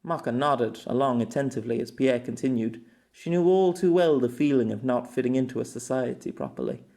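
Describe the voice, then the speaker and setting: A man's voice: medium pitch, fairly quick, vocal fry, warm tone. A man reading scripted story narration as an amateur audiobook narrator.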